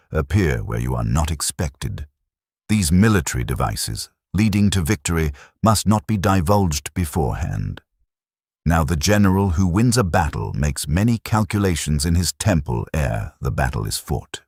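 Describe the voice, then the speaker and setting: Super deep guy narrating an old classic audiobook, deliberate delivery but lots of rise and fall. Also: darkish tone, breathy edge, no room sound, studio quality.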